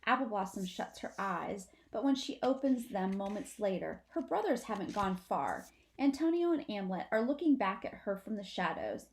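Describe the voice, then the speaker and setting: A woman's voice: mid-range voice, big pitch swings, moderate pace, low-quality recording. A woman, a teacher, narrates a children's story aloud for her students.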